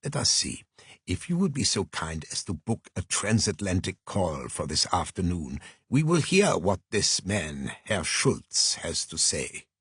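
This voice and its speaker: A man voicing a polite, deliberate private detective who speaks formally and precisely in an audiobook. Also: sits low, sing-song, a bit bright, husky, no echo, clean studio sound, heavily acted. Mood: measured. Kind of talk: audiobook character voice.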